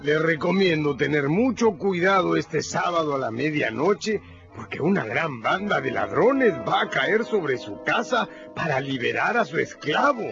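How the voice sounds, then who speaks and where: An actor playing a steady older farmer uncle in a radio drama, calm and reassuring. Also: male voice, a little high, measured pace, gravelly, dull audio, music in the background.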